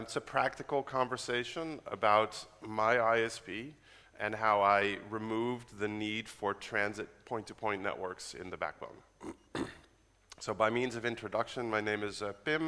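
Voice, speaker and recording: A man from the Netherlands with an American accent, giving a quick, casual, joking technical talk at a conference. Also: on the low side, bright, forceful tone, clean tone. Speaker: conference speaker. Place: conference hall. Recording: reverberant conference hall.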